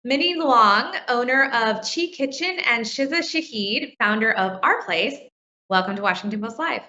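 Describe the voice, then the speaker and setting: A woman's voice: on the high side, expressive, moderate pace, sharp tone. A woman, a technology reporter, hosting and moderating a live interview, friendly and a little flustered at first. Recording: lo-fi with a roomy echo.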